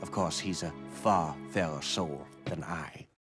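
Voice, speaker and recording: An actor playing a sly, arrogant villainous racer in a video game, with a mocking, theatrical way of talking. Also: male voice, extremely low pitch, unhurried, over music. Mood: smug, taunting. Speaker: rival racer working for a crime boss. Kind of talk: video game cutscene acting.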